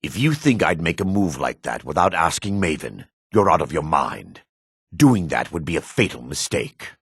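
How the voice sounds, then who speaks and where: Dark elf trader, husky, very low, sly, curt, greedy, video game fantasy, dry close sound. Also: male voice, sing-song, moderate pace, no room sound, exaggerated voice. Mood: menacing. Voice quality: raspy. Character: dark elf man, shifty, greedy meadery boss and merchant.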